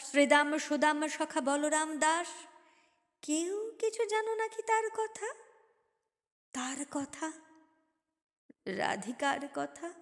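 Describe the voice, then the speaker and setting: A woman reciting a poem dramatically, with an emotive, theatrical delivery full of deliberate pauses and swells. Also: sits high. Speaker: poetry reciter. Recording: long hall-like reverb on voice.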